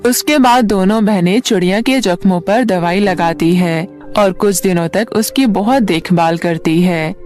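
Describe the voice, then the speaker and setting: A woman's voice: mid-range voice, measured pace, hardly any pauses, a little mellow. A woman reading a scripted fairy tale for children as a storyteller. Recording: music bed under the voice. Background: background music.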